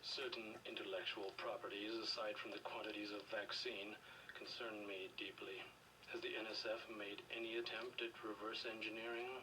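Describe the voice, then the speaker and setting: An actor playing a cold, condescending government official in a video game, speaking in measured, quiet threats. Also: male voice, fairly low pitch, little pitch movement, recorded from a distance, background noise, natural voice. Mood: controlled threat. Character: cold, menacing middle-aged male government official interrogating a prisoner.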